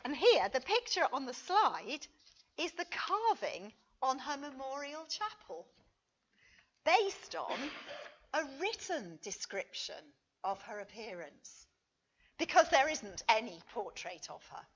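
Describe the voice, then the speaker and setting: A woman reading a scripted academic paper as a conference speaker, with measured, deliberate and emphatic phrasing. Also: high-pitched, big pitch swings, fairly bright tone, highs cut off.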